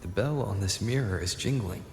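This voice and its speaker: Man as thoughtful male game protagonist; lowish gravelly voice, measured and calm, heavy echo. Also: faint music behind, natural voice. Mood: pensive. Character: calm young male wandering hero, thoughtful investigator.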